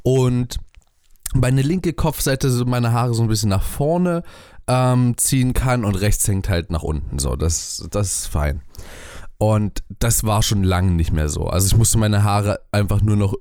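A man's voice: lowish voice, a little slow, dark tone, no room sound. Man, chatty podcast co-host, rambling with lots of ums, dry close sound. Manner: rambling, hesitant with many fillers. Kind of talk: casual chat. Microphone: webcam and desk mic at home.

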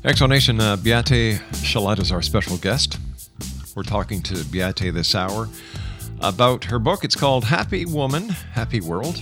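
A man hosting a radio interview show, speaking in a measured, emphatic way with deliberate pauses. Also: lowish voice, animated, over music. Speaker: radio talk show host.

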